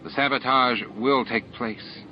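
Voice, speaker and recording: A man's voice: medium pitch, unhurried, close to an everyday voice. A man voicing a scheming, sneering male alien rebel in an audiobook. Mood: quietly sinister. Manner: goading, sneering. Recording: dull lo-fi audiobook tape.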